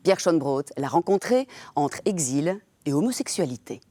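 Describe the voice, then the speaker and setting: A woman presenting a TV magazine segment, reading a scripted introduction with clear, measured delivery. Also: sits low, big pitch swings, dark tone, dry recording.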